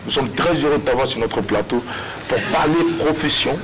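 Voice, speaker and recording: A man's voice: mid-range voice, normal speed. A man with a Burkinabé accent hosting a TV talk show, introducing and questioning a guest in a playful, theatrical way. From Burkina Faso. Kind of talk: animated guest introduction and interview. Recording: TV studio with audience noise.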